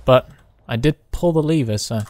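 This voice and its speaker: Man, mid-pitched, casual gaming commentary, halting think-aloud phrases, player talking to a co-op partner. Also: a little mellow, dry recording. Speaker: gaming video host, player.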